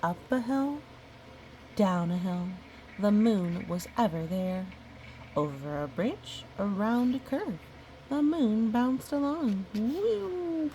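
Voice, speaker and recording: Slow-paced woman reading a picture book. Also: mid-range voice, lively intonation, slight background noise, low-quality recording. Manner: gentle, musing, chatty asides. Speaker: storybook reader. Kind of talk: read-aloud of a picture book with casual asides to a child listener.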